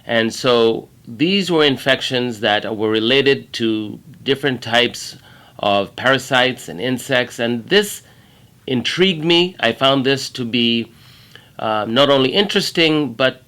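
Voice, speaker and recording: A man from Kenya, a middle-aged infectious disease doctor, giving a measured, earnest talk about his work. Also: mid-range voice, pushed and bright. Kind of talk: prepared career talk to camera.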